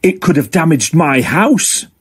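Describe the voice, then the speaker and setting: Earnest eager octopus voiced by a man, animated. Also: on the high side, a little slow, husky, voice pushed up, full character voice. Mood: concerned. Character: earnest male octopus, worried, eager aspiring firefighter. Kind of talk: children's storybook character reading.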